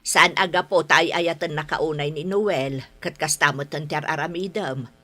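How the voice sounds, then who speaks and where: A woman's voice: deep voice, normal speed, bright, forceful tone. An actress playing a patient, middle-aged Ilocano mother in a radio drama, admonishing her grown daughter in a motherly way. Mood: gently chiding. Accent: Ilocano, northern Philippines.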